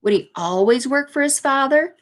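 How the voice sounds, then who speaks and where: A woman's voice: high-pitched, animated, normal speed. Woman teacher reading aloud to children. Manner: explains simply, as to young children. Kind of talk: children's read-aloud with explanatory asides.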